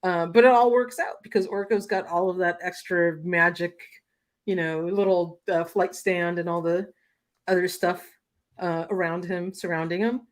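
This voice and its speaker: A woman co-hosting a casual toy-collecting livestream, chatty and quick to laugh, with plenty of fillers. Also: fairly low pitch, steady tone. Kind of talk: casual chat.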